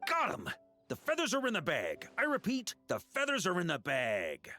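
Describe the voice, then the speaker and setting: An actor playing a boisterous, friendly knight commander in a video game, hearty and playful, with music underneath. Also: male voice, high-pitched, wildly swooping pitch, normal speed, pushed and bright, full character voice. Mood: excited.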